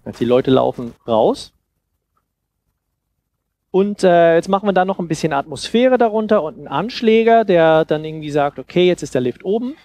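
A man in his thirties giving an informal demonstration talk into a headset mic, explaining haltingly and thinking aloud. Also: a little high, expressive, darkish tone. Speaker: conference speaker on sound design. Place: seated on a sofa in a festival room. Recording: clear background noise and ambience.

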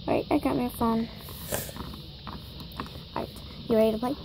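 A woman's voice: fairly high pitch, fairly even intonation, moderate pace, creaky, background noise. A girl improvising a toy shopping scene, chatty and bossy as she runs the story. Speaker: toy video maker. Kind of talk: improvised toy play-acting.

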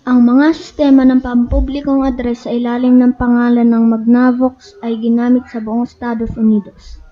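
A woman's voice: on the high side, flat delivery, normal speed. A Filipino woman reading a scripted educational narration aloud, stumbling over some words. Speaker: narrator of an educational video channel. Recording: dull lo-fi recording.

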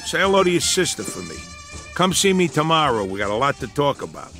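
An actor playing a cocky young hustler, slick and self-assured, in a game cutscene. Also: male voice, a little high, sing-song, a little fast, over music. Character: cocky young hustler friend, smooth talker.

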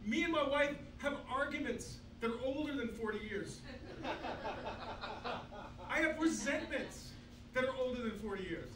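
A man in his sixties works a small club stage with a handheld mic, trading in crude, self-deprecating punchlines. His voice sits high for a man. The recording is roomy and distant, with a noisy bed under it. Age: 60s. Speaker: stand-up comedian. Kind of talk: stand-up comedy set. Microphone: handheld mic, filmed from far back.

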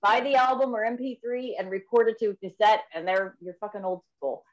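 A woman's voice: mid-range voice, brisk, poor audio. A woman in her fifties, a metal musician, chatting casually on a video call with many fillers and restarts. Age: 50s. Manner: rambling, frequent fillers and restarts. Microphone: video call webcam.

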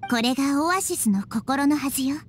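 A woman voicing a young girl goddess, calm, gentle and wise, who speaks softly and with composure. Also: sits high, moderate pace, natural voice. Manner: soft, composed, reassuring. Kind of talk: Japanese game voice acting. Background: game music.

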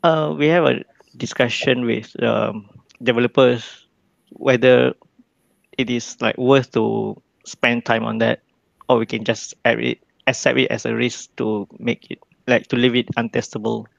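A man's voice: mid-range voice, level tone, measured pace, creaky, dry recording. A man giving an informal technical explanation as a meetup participant, over dull online call audio.

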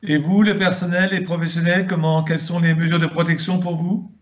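A man's voice: fairly high pitch, little pitch movement, fairly quick. A man in his sixties asking formal, prepared interview questions over a video call. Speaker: interviewer, host. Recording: dull, lo-fi video call audio.

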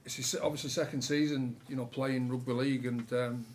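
A man, a rugby coach answering questions at a post-match press conference with relaxed, halting answers full of fillers. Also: medium pitch, slight background noise. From England. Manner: relaxed, many fillers and restarts. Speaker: rugby league head coach. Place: press room with sponsor board behind.

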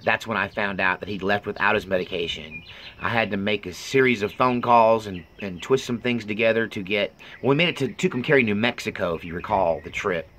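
A middle-aged man giving an unscripted personal update in a halting, earnest, confiding way. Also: on the low side, fairly bright tone, slight background noise. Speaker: vlogger.

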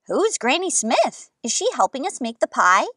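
A woman voicing a curious, excitable little girl from a storybook, eager and exclaiming. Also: high-pitched, normal speed, dry recording, clean studio sound, voice pushed up, heavily acted. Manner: eager, wide-eyed, exclaiming.

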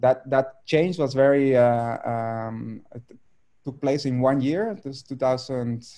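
Hesitant Dutch man with a mellow voice. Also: medium pitch, measured pace. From Netherlands. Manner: hesitant, many fillers and restarts. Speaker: artist guest. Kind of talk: video call interview. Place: home studio room. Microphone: video call.

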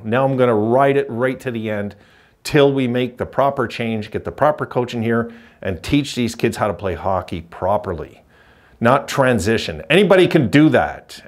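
Middle-aged man ranting about his hockey team. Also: mid-range voice. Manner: frustrated, emphatic, repeats points. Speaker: hockey fan channel host. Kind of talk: postgame rant to camera. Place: indoors, green-screen backdrop.

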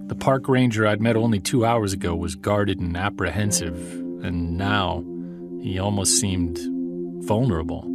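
A man playing a middle-aged Bigfoot investigator in an audio drama, reflective and measured. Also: fairly low pitch, lightly acted. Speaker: lead character, narrator. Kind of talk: audio drama acting, scripted first-person narration.

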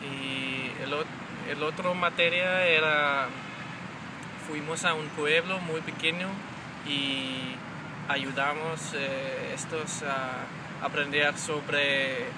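A young man in his twenties from Denmark, speaking a learned second language with a Danish accent, talking slowly and haltingly to camera in a garden, often restarting and searching for words. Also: a little high, fairly even intonation. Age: 20s. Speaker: exchange student. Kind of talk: testimonial to camera. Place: outdoors in a garden. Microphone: camera mic at close range. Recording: loud outdoor noise.

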